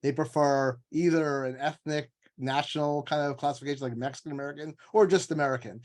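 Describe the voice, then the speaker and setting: A man's voice: fairly high pitch, steady tone, fast, a little mellow. A man speaking as an author and scholar in an informal panel discussion, explaining in a discursive, emphatic way and often acting out imagined quotes. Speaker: author and legal scholar guest.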